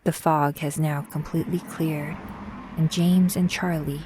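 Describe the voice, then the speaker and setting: A woman narrating a scripted audio drama in a measured storytelling manner. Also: sits low, steady tone, heavy background noise.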